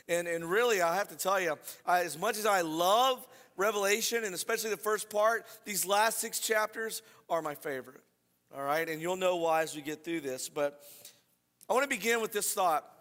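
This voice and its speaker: Slightly hoarse, high-pitched male preacher. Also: bright, forceful tone. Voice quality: slightly scratchy. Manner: informal, repeats phrases, checks in with "right?". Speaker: pastor. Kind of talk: conversational sermon to a congregation. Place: church hall. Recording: reverberant hall sound.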